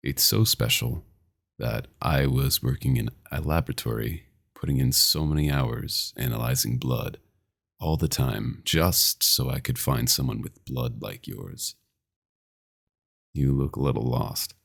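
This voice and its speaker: A man playing a dominant, possessive vampire doctor in an ASMR roleplay, intimate and teasing with a smooth menace. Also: unhurried, a bit bright, exaggerated voice. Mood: possessive, menacing. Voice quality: very deep, gravelly.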